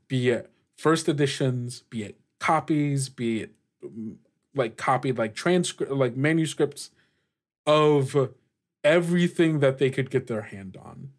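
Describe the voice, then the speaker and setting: A man narrating a tabletop roleplaying game as game master, in a halting, conversational way. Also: medium pitch. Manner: halting, conversational, often saying "like".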